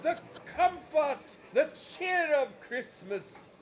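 A man playing a jolly, warm-hearted older gentleman host in a radio drama, hearty and theatrical. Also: extremely high pitch, very animated, very slow, a little hiss or hum, heavily acted. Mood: jubilant, festive. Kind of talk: stage-style radio drama acting.

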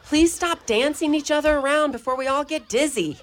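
A woman playing a stern but tender elderly mother superior in a community radio play, brisk and commanding. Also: high voice, natural voice.

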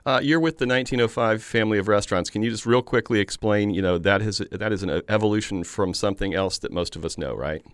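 Radio host guy, lowish voice, friendly and measured, super dry mic. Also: clean tone, no room sound. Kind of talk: public radio interview.

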